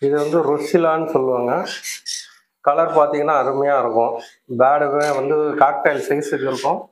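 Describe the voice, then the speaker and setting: A man's voice: a little high, fairly even intonation, moderate pace, a little hiss or hum. A man, a bird breeder, plainly explaining his birds and their care to an interviewer. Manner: plain, matter-of-fact explaining. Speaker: bird breeder and farm owner. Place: indoor aviary with cages. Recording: echoey room.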